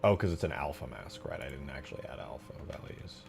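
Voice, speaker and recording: A man giving casual, slangy commentary as a game modder, muttering to himself as he thinks out loud while working. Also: extremely low pitch, lively intonation, fast talker, gravelly, faint music behind.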